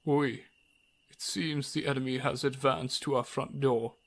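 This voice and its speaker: A man's voice: medium pitch, fairly even intonation, unhurried, lightly acted. A man voicing a grave, commanding king who gives orders in an ASMR roleplay.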